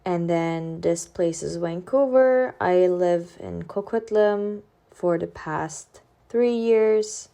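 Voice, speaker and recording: A woman's voice: lowish voice, slow, creaky, few pauses, mellow. A woman in her twenties from Turkey with a Turkish accent, narrating a personal vlog in an earnest, careful way with some hesitant fillers. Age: 20s. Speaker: student vlogger.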